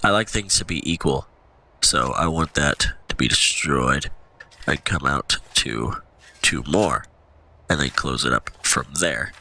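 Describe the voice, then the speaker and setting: A man's voice: very deep voice, animated, unhurried, vocal fry, pushed and bright, low-quality recording. A man with a stuffy nose from allergies, casually commentating his gameplay as a channel host, rambling and thinking aloud.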